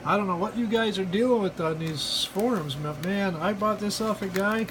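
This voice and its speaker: High-pitched folksy man over clear background noise. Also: normal speed. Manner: mock-earnest, repetitive, folksy slang. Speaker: hobbyist video presenter. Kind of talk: casual joking product demonstration. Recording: noisy recording with steady background hum.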